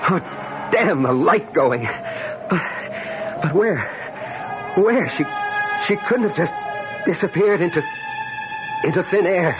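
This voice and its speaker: An actor playing an earnest, bewildered American traveler in a radio drama, with dramatic first-person narration. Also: male voice, sits high, lively intonation, takes it slowly, pauses often, over music. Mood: bewildered, urgent. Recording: muffled, noisy old radio recording.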